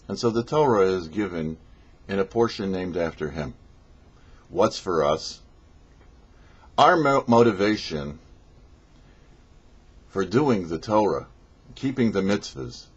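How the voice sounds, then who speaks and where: A man, a rabbi, giving scripted religious teaching in a measured, explanatory way on his show. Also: on the low side, breathy edge, lo-fi sound. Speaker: rabbi hosting a weekly Torah portion show.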